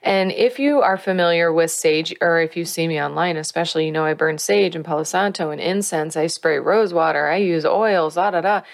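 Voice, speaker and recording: Woman spiritual teacher with a low voice in a dry room. Earnest and coaching, leans on key words. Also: brisk, creaky, hardly any pauses, dry recording.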